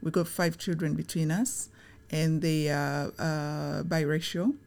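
A middle-aged woman born in Tanzania talks through her answers in an interview. Her voice sits low, and she is reflective and unhurried, often pausing on fillers and restarting her phrases. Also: little pitch movement, creaky, a little mellow. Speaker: guest, chief operating officer.

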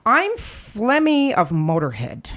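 A woman's voice: on the high side, huge pitch swings, slow pace, loud music behind the voice. A woman co-hosting a comedy podcast; she is phlegmy with a cold and chats loosely, restarting her sentences. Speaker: podcast co-host. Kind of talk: casual comedic chat.

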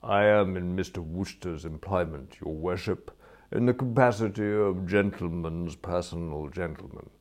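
Man playing a dignified, deferential gentleman's valet. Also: low voice, natural voice. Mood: deferential, composed. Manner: deferential, measured, formal. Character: dignified, impeccably polite English gentleman's valet.

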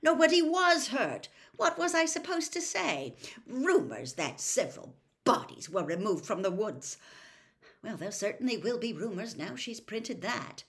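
A woman's voice: medium pitch, expressive, measured pace, pitched above the performer's own voice. A woman voicing a weary, kindly middle-aged wizard father who speaks heavily and soothingly. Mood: exasperated. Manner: heavy, soothing, exasperated.

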